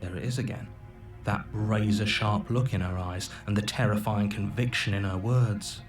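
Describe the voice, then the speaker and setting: An actor playing a calm, manipulative game narrator who tells the story in a measured, hushed, soothing way. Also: male voice, fairly low pitch, faint music behind, lightly acted. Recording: game audio with background noise.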